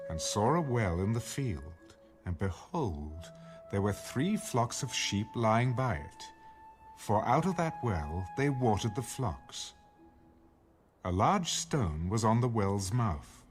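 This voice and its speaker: A man narrating scripture as a scripted, dramatic audio Bible reading. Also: on the low side.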